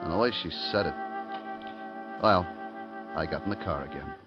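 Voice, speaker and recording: A man's voice: low voice, normal speed, close to an everyday voice. An actor playing a nervous market clerk who tells his own story in a hesitant, colloquial, brooding way in a 1950s radio thriller. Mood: brooding recollection. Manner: hesitant, colloquial, brooding first-person telling. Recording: muffled 1950s radio recording, loud music.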